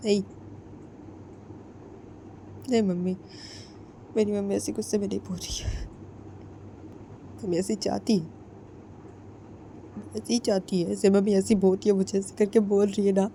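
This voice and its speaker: A woman voicing a tearful young daughter-in-law who speaks in a soft, breathy half-whisper, hurt and stubborn. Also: medium pitch, flat delivery, measured pace, pauses often, slight background noise, pitched above the performer's own voice, natural voice.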